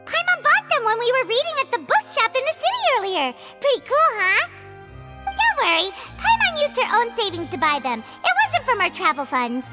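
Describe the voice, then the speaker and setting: A tiny, cheeky fairy companion from a video game. The voice is squeaky and extremely high, bouncing all over the place with childlike excitement. Music plays clearly underneath. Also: female voice, normal speed, heavily acted. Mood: eager, proud. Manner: refers to herself by name, exclaiming. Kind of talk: animated video game voice acting.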